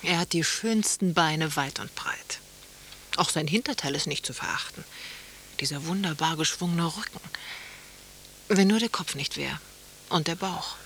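An actress playing a dreamy, teasing wife in a radio play, speaking in short poetic remarks. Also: female voice, sits low, measured pace, vocal fry, long pauses, fairly bright tone, heavily acted. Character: dreamy, teasing wife watching the water, needling her husband. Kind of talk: German radio play acting. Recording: faint tape hiss.

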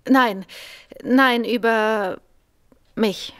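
A woman's voice: a little high, very slow, a bit bright, smooth. An actress playing a nervous, anxious woman seeking help in a radio drama, speaking hesitantly and earnestly. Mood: hesitant, troubled.

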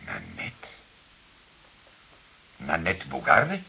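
Low-voiced, probing police detective. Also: male voice, wildly swooping pitch, vocal fry, pushed and bright, slightly rough, exaggerated voice. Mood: probing, surprised. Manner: deliberate, formal, measured questioning. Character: calm, authoritative male police detective, probing and formal. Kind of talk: Dutch radio drama dialogue. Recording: hissy old radio drama recording.

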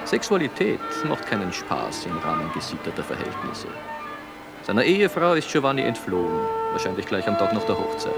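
An opinionated man holds forth in a conversational radio play. He is emphatic and lecturing, with a gravelly, highly animated delivery over loud music. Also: brisk, heavily acted. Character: opinionated man holding forth provocatively on seduction.

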